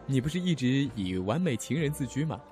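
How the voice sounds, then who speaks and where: A man's voice: medium pitch, very animated, fairly quick, mellow, faint music behind, natural voice. An actor playing a teasing, wistful young man, a close confidant, in an amateur audio drama.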